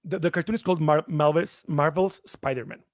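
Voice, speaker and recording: A man being interviewed as a voice actor and writer, friendly and halting, often restarting his sentences. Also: a little high, dry recording, studio quality. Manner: friendly, halting, many restarts, laughs easily. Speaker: voice actor and comic writer guest. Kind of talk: casual interview chat.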